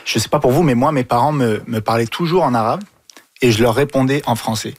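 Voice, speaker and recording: Slightly husky male radio host. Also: medium pitch. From Africa. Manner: playful, self-mocking, quick to laugh. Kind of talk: casual radio panel chat.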